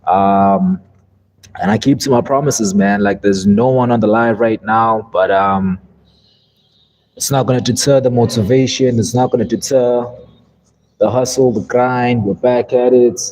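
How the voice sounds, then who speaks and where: Mellow-voiced South African streamer. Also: male voice, medium pitch, fairly even intonation, unhurried. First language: Zulu. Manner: laid-back, rambling, says "man" often. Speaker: live stream host. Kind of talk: casual live stream chat.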